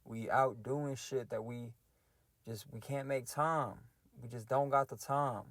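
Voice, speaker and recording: Man, mid-20s, casual solo rant host, slangy and reflective, medium pitch, dry close mic. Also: fairly even intonation, unhurried, fairly bright tone, no echo. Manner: loose and conversational, heavy slang, reflective. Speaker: podcast host ranting.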